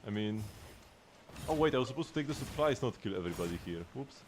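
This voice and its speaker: A man's voice: mid-range voice, animated, quick pace, a little hiss or hum. A man in his late twenties chatting while he streams a game, rambling with lots of restarts and laughs. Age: late 20s. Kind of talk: casual gaming livestream chat.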